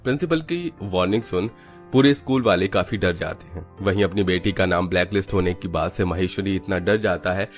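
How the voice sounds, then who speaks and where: A man's voice: low voice, fairly quick, soft background music. A man reading scripted narration for a children's moral story.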